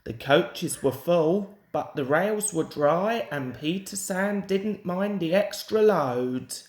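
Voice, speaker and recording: A woman reads a children's story at a slow, measured pace. Her voice sits a little low, and the recording is echoey and roomy. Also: lively intonation, darkish tone. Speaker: storybook reader.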